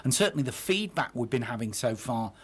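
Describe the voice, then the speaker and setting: A man giving conversational radio interview answers in halting phrases, often correcting himself. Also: mid-range voice, animated, slightly rough.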